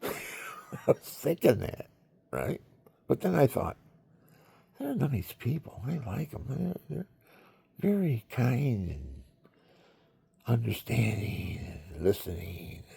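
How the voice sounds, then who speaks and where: A man's voice: medium pitch, creaky, warm tone, husky. An older man telling personal stories in a rambling monologue, talking straight to his viewers and acting out what others said. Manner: chatty, talks to the viewers, acts out quoted voices. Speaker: storyteller.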